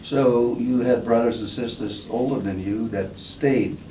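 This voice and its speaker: A man's voice: mid-range voice, a little slow, mellow. A man interviewing a guest, prompting him with leading questions. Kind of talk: oral history interview. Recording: roomy, distant, noisy recording.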